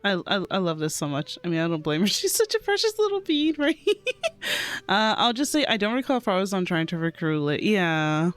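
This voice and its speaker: A woman streamer giving casual, excitable and giggly reaction commentary on a game. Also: medium pitch, brisk.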